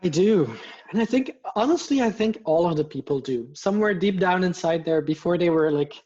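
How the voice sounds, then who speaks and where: A man's voice: high voice, animated, a little fast, darkish tone. A man in his thirties from Belgium, a native Dutch speaker with a Belgian Dutch accent, talking enthusiastically as a guest over a video call. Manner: enthusiastic, talks with his hands. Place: home room with plants and posters. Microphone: headset headphones on video call. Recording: muffled lo-fi video call audio.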